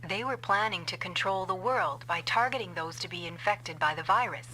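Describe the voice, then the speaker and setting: An actress playing a vengeful female scientist in an anime-style game dub, explaining coldly and deliberately. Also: medium pitch, noisy background, lightly acted. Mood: grim, confessing. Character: vengeful female scientist confessing a revenge plot.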